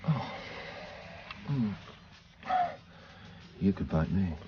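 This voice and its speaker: An actor playing a middle-aged widower detective in a naturalistic radio drama, calm, dry and understated. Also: male voice, low voice, very slow, lo-fi sound, heavy background noise, full character voice. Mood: dry, reassuring. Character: steady, dry-humoured widower police detective.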